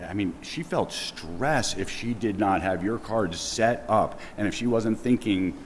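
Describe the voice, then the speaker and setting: Deep-voiced man speaking loudly at a memorial. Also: a little slow. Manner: loud, casual speaking with chuckled asides. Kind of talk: eulogy mixing reading and off-script remarks. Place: church sanctuary. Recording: long church reverb, faint background hiss.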